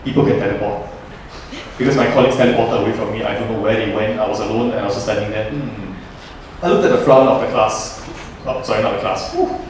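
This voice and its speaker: A man's voice: lowish voice, steady tone, fast talker, a little hiss or hum. Young man presenting in an echoey hall. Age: young adult. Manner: jokey, chatty storyteller. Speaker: student presenter. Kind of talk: lively presentation to a class. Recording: roomy echo from far off.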